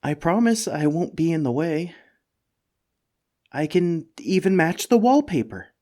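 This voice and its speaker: A man's voice: fairly high pitch, lively intonation, a little slow, warm tone. A man voicing an anxious, self-deprecating mimic monster living as a talking couch, hesitant and pleading in a comedic ASMR roleplay. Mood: eager, coaxing. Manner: hesitant, pleading, stammering, rambling.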